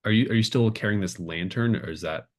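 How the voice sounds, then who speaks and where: A man improvising casual narration as a game master, halting and thinking aloud. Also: on the low side.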